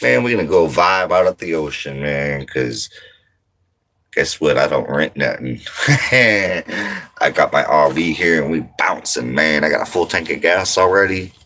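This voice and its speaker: Deep slow guy from montana doing a chill livestream in his rv, slangy and rambling. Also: lively intonation, pushed and bright. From Montana, United States. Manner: laid-back slangy talk, drawn-out and rambling. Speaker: livestream host. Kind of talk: casual livestream chat reading comments.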